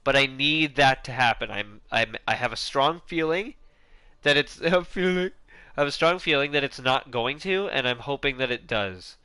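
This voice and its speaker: A man's voice: medium pitch, animated, moderate pace, bright, forceful tone, clean tone, dry recording. A man giving casual, rambling commentary on a video game, often restarting his sentences and swearing offhand. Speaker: gaming YouTuber.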